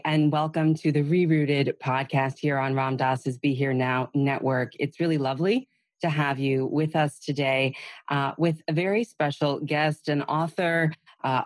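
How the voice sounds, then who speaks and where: A woman hosting a podcast interview from a home in Massachusetts over a video call, speaking gently and meanderingly with soft fillers. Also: sits low, fairly even intonation, measured pace, no room sound. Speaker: podcast host.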